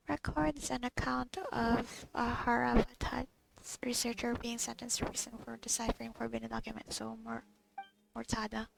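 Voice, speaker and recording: A woman's voice: fairly high pitch, creaky, low-quality recording. A woman playing a video game, chatting casually, muttering to herself and reading text aloud. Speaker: gamer recording a playthrough. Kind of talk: casual gameplay chat.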